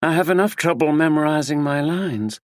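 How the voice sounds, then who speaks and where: A man's voice: a little high, moderate pace, no room sound, studio quality, pitched above the performer's own voice, lightly acted. A male narrator voicing a self-absorbed, perky American actress with a drawl, breezy and dismissive.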